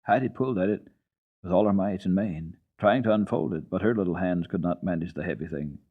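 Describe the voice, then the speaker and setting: A man's voice: sits low, brisk, husky. A man giving a dramatic, folksy reading of a children's book as a radio storyteller, on a muffled archival recording. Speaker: storyteller, radio program host.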